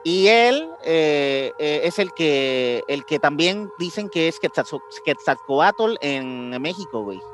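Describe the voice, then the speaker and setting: Halting, higher-voiced Mexican man. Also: animated. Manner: halting, searching for words, slangy. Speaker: podcast co-host. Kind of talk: casual podcast chat about ancient mysteries. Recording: music behind the voice.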